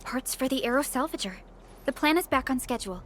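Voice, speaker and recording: A woman's voice: sits high, expressive, fairly quick, fairly bright tone, slight background noise. A woman playing a composed, prudent female supervisor in a video game, thoughtful and polite. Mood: businesslike.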